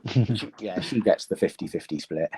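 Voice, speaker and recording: A man in his thirties with an English accent, born in Romania, answers an interviewer's questions in a low, mellow voice. He speaks earnestly and thoughtfully, pausing often with "um" as he gathers his words. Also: slightly rough. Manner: earnest, thoughtful, many ums. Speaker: interview guest. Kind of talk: reflective conversation.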